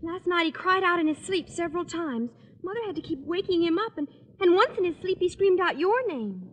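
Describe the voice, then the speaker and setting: A worried, earnest schoolgirl in old-time radio comedy. The voice is extremely high. The aged recording has a hall ring. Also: lively intonation, brisk, lo-fi sound, slight background noise.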